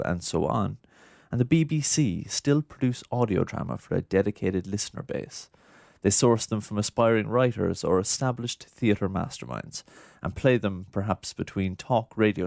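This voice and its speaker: A man's voice: on the low side, creaky, a little mellow, no echo, studio quality. A man reading scripted narration for a documentary.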